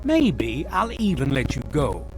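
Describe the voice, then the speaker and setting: A man's voice: mid-range voice, wildly swooping pitch, a little slow. A man playing a stern, impatient military colonel in a video game, giving commanding, threatening orders. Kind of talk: video game cutscene acting. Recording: loud music behind the voice.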